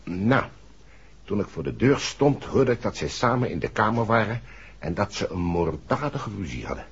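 An earnest, uneasy man confiding in a 1960s radio play. His deep voice is markedly husky and rises and falls in lively fashion. The old recording sounds dull. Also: full character voice. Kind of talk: 1960s Dutch radio drama acting.

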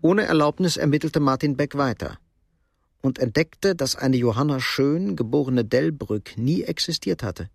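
A man's voice: medium pitch, animated, moderate pace, no echo, studio quality. A man reading scripted narration for a radio drama. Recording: clear background noise.

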